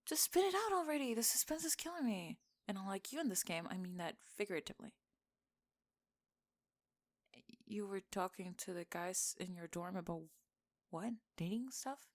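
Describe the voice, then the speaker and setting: A young woman playing a teasing, sarcastic tomboy gamer friend in an acted ASMR roleplay, with expressive sighs and laughter. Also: mid-range voice, brisk, close to an everyday voice. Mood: uneasy, exasperated. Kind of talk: acted ASMR roleplay, one-sided conversation.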